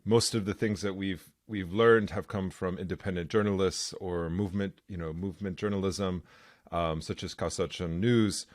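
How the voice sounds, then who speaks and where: A man's voice: low voice, fairly even intonation, moderate pace, no echo, clean studio sound. A man hosting a podcast interview, earnest and conversational. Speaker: podcast host.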